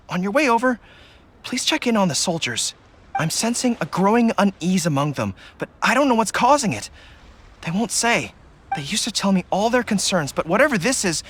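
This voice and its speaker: Thoughtful young female leader, soft, measured, expressive pitch. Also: slightly rough.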